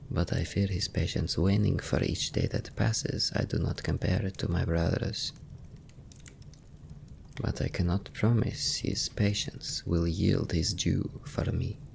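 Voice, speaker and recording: A man playing a brooding young vampire noble in an ASMR fantasy roleplay, hushed and gravelly, with measured, formal phrasing. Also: deep voice, fairly even intonation, a little hiss or hum, exaggerated voice. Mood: solemn, wistful. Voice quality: gravelly, breathy at times. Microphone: close microphone.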